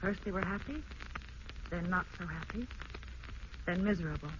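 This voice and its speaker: An actress playing an unhappy, earnest young housewife who pleads and hesitates, in 1940s radio drama style. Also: female voice, on the low side, normal speed, close to an everyday voice. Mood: unhappy, pleading. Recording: muffled, hissy old radio broadcast.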